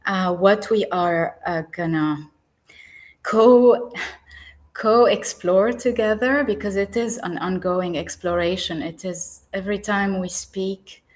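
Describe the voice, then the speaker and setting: A woman from Lebanon, a podcast guest speaking calmly and reflectively over a video call. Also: medium pitch, slow pace. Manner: calm, reflective, grateful. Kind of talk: live podcast conversation.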